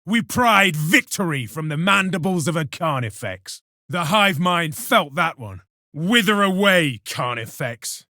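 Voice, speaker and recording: A man's voice: on the high side, lively intonation, measured pace, sharp tone, no room sound, studio quality, full character voice. A man playing a proud, zealous space marine warrior in a video game, declaiming solemnly and commandingly with contempt for his foes. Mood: disgusted scorn. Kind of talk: video game combat voice lines, theatrical declamation.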